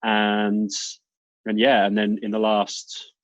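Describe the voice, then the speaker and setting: A man's voice: fairly low pitch, monotone, slow pace, dry recording. Man with a light British accent, dull lo-fi sound. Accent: British, light Welsh. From Serbia, raised in South Wales. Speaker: fitness coach and doctor, guest.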